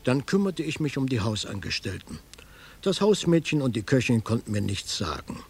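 A very husky private detective from a radio crime play. He is shrewd and laconic, with a lively pitch that moves around. His delivery is dry and probing, with gravel in the voice. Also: normal speed, full character voice. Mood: dry narrating. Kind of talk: German radio crime drama, first-person narration and questioning.

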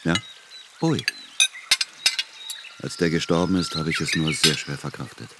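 A man's voice: extremely low pitch, normal speed, very noisy. A man playing an earnest, brooding adult son in a radio play, speaking hesitantly. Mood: earnest, wistful.

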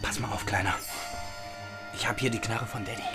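A man's voice: lowish voice, fairly even intonation, brisk, music in the background, natural voice. An actor playing a streetwise young man in a radio drama, a bossy older brother who lectures in slangy, condescending talk. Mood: bossy, wary. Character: bossy, streetwise 22-year-old older brother, petty looter.

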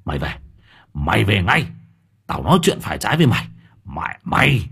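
A man voicing a furious, hot-tempered young husband who curses and shouts. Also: on the low side, very animated, brisk, vocal fry, slightly rough, full character voice. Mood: menacing. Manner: cursing, shouting.

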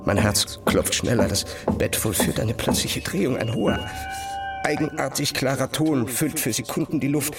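An anxious, entranced dreamer played by a man speaks from a little distance over clear music. His delivery is intense and halting, in short breathy phrases, like an inner voice in a literary audio drama. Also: mid-range voice, natural voice. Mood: uneasy, entranced. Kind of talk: literary audio drama, present-tense stream of consciousness.

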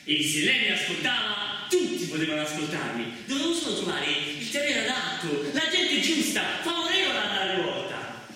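A man with a high, bright voice and a Roman accent delivers an expressive stage monologue, sometimes half sung. He is heard at a distance in a very reverberant hall. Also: normal speed. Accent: central Italian, Roman. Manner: expressive, acting out several characters in dialogue. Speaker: stage actor performing his own one-man play. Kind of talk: theatrical monologue with sung passages. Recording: very echoey hall, distant mic, faint music.

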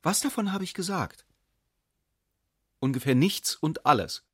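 An actor playing a reflective essayist who reasons aloud in a radio play, probing and deliberate. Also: male voice, fairly high pitch, lively intonation, husky, no room sound, clean studio sound. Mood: musing, questioning. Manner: probing, deliberate, philosophical questioning. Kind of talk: literary radio play monologue.

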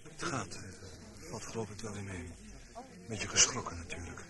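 An actor in a radio play playing a civil servant and driver, hesitant and politely understated. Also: male voice, lowish voice, normal speed, close to an everyday voice. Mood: shaken but polite. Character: composed male civil servant, driver in an accident. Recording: busy crowd behind radio play scene.

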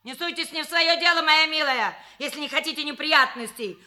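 A woman's voice: high-pitched, brisk, sharp tone. An actress playing a brash, defiant, vulgar woman in a comedy audio play, sneering and combative. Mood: scornful, threatening.

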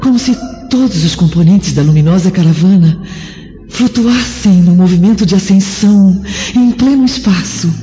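A woman's voice: medium pitch, warm tone, lightly acted. An actress with a Brazilian accent playing a devout female spirit full of awe at heaven, in a solemn radio drama. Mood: serene wonder. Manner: slow, rapt, declamatory. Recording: dull, reverberant radio drama over music.

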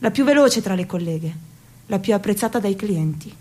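A woman's voice: medium pitch, lively intonation, warm tone, close to an everyday voice. An actress in her thirties playing a bitter, ironic young waitress in a theatrical monologue. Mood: sardonic. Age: 30s. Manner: sarcastic, measured delivery. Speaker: actress in a radio theatre piece.

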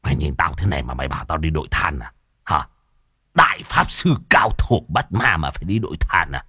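A brash older ghost catcher, voiced by a male narrator in a spoken comic horror tale. He talks low and gruff, blustering in short rude bursts, close and dry. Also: sing-song, unhurried, pushed and bright, dry recording, heavily acted. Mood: indignant. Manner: blustering, curt.

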